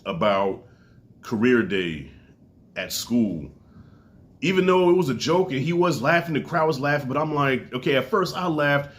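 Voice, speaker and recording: A congested man in his forties talks straight to camera, his voice thick and stuffy. He speaks loosely and profanely, with sudden high swings in pitch. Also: expressive, normal speed. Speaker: comedy special reviewer. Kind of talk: casual spoken review to camera.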